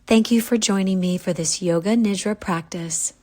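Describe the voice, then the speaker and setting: A woman guiding a yoga nidra relaxation, giving calm, gentle, unhurried instructions with pauses. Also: medium pitch. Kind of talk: guided relaxation meditation.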